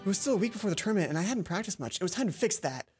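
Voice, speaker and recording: A woman reading a visual novel aloud as a let's play host, expressive and playful, acting out the dialogue. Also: medium pitch, racing, breathy edge. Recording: music behind the voice. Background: game music.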